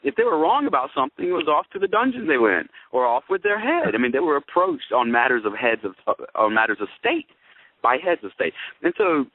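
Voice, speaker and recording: A man's voice: on the high side, very animated, brisk, dry recording. Man on a tinny phone line, folksy explainer. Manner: explanatory, folksy, addresses listeners with tag questions. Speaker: co-host, astrologer. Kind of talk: conversational astrology commentary. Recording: tinny, phone-like radio-show audio.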